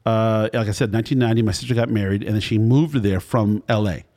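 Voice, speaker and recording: A man's voice: fairly low pitch, fairly even intonation, quick pace, warm tone. A man in his fifties hosting a casual food podcast, telling long, wandering anecdotes. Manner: rambling storyteller, wandering anecdotes. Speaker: podcast host. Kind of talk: casual interview chat.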